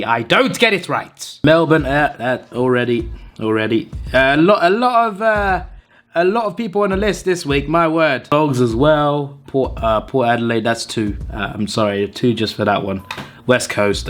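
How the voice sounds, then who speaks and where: A hoarse man who is under the weather, hosting a channel and tallying wrong viewer tips with mock exasperation. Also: mid-range voice, fairly bright tone. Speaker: channel host. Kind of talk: casual commentary reading out viewer tips.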